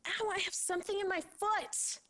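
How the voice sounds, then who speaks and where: A woman's voice: very high-pitched, steady tone, normal speed, fairly bright tone. An actress playing a distressed woman pleading for help in a video game disaster cutscene. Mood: pained, worried. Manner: pleading, pained. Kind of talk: full-motion-video game acting.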